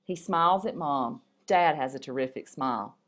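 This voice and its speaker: Low-voiced woman reading aloud. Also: expressive, normal speed, a bit bright. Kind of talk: reading a story and poem aloud.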